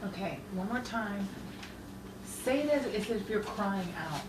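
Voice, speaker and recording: Lively woman in an echoey, noisy room. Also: mid-range voice, a little slow, far from the mic. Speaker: host and director of an audio drama troupe. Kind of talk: casual directing and show sign-off.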